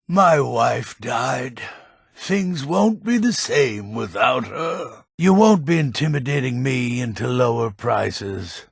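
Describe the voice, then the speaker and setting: An actor playing a grumpy old male villager who keeps a potion shop in a fantasy video game, with a gravelly, husky voice and a gruff manner. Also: mid-range voice, dry recording, clean studio sound, exaggerated voice. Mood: scolding, irritated. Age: older. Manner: gruff, curmudgeonly. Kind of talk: video game character lines.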